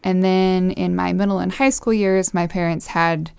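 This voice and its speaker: Young woman guest, grew up in Costa Rica; soft-spoken, pausing, gravelly texture. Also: fairly low pitch, steady tone, no echo. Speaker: podcast guest. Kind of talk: casual conversational interview.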